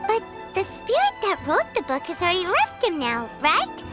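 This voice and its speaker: A woman voicing a tiny, excitable female fairy companion in a video game, who talks about herself in the third person. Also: extremely high pitch, moderate pace, loud music behind the voice, full character voice. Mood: curious.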